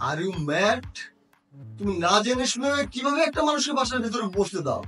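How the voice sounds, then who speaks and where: An actor with a Bangladeshi accent playing a bewildered, irritable husband in a short-film drama, blunt and exasperated. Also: male voice, extremely high pitch, big pitch swings, moderate pace, pushed and bright, exaggerated voice. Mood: alarmed disbelief. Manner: exasperated, blunt, mixes in English. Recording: distant mic with background music.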